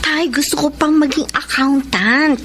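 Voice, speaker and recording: A woman acting a spirited, dutiful young Filipino student in a radio drama, expressive, firm but respectful. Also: high-pitched, unhurried, background noise. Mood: protesting, pleading. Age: young adult.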